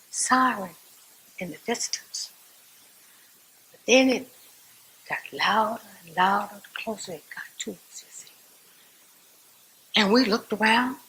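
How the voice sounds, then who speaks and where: A resolute, devout Southern woman activist, acted by a woman delivers a staged first-person testimony to her church. Her middle-pitched voice is folksy and theatrical, leaning on pauses and repeated phrases. The live recording carries faint noise. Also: fairly bright tone, close to an everyday voice, poor audio. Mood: urgent, animated. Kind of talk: dramatized first-person monologue, church storytelling.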